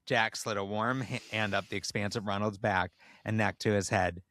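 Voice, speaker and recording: A man reading comedic erotic fiction aloud with expressive, story-like narration, as a podcast host. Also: lowish voice, normal speed, no room sound.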